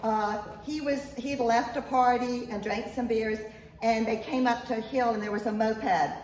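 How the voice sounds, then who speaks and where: A woman's voice: on the high side, steady tone, normal speed, a little mellow. Earnest woman giving a talk. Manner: earnest, emphatic, repeats points. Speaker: author speaking at a local event. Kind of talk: public talk to an audience. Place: event room, echoey. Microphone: handheld microphone, at times off mic. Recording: echoey room from far off, faint noise.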